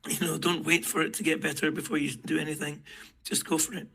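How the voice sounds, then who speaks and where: A middle-aged man with a rough voice hosts a casual group chat, halting and full of fillers. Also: a little high, flat delivery, sharp tone. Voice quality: rough, gravelly voice. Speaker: host.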